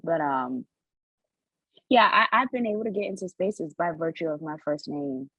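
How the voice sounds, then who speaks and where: Husky young woman, earnest podcast talk. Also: on the low side, big pitch swings, moderate pace, no room sound, lo-fi sound. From United States. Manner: earnest, repeats words while finding her point. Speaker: podcast co-host. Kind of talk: casual discussion.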